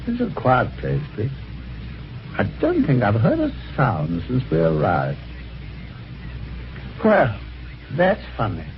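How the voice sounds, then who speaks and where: A jaunty british chap in an old radio mystery, super swoopy voice, wry, dull hissy recording. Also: male voice, mid-range voice, huge pitch swings, slow, pauses often, exaggerated voice. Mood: jovial, musing. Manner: jaunty, wry, old-fashioned gentlemanly phrasing. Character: genial, chatty, helpful husband telling his adventure. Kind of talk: 1950s radio drama acting and first-person narration.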